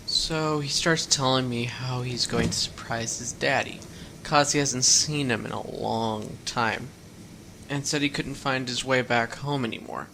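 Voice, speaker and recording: A man's voice: mid-range voice, steady tone, unhurried, gravelly, noisy background. An actor playing a fifteen-year-old runaway boy in a radio drama, talking in a plain, folksy, rambling way. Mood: earnest. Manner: plain-spoken, rambling storyteller with folksy words. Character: teenage runaway boy, fifteen, earnest and guarded. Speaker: hitchhiking runaway.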